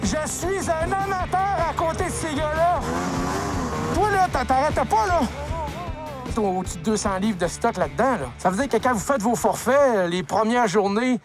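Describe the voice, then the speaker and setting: Host talking over loud music. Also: male voice, very high-pitched, animated, moderate pace. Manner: casual, chatty, tosses questions to guests. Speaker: show host. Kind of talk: snowmobile magazine host narration and interviews.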